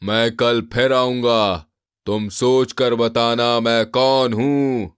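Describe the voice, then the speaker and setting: A man's voice: medium pitch, steady tone, smooth, no echo, studio quality, pitched above the performer's own voice, natural voice. A man voicing a menacing house ghost in a cartoon horror comedy, stretching out the words in a spooky way. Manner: very slow, drawn-out, spooky. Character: menacing male house ghost posing riddles. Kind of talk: animated horror-comedy story acting.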